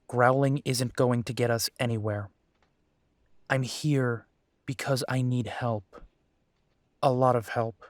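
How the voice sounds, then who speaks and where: A man's voice: mid-range voice, dry recording, clean studio sound, lightly acted. A man playing a young, protective and determined werewolf in an audio roleplay, speaking earnestly.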